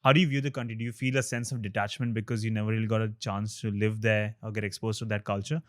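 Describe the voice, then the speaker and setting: A man hosting a podcast interview, asking measured, reflective questions. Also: lowish voice, flat delivery. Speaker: podcast host.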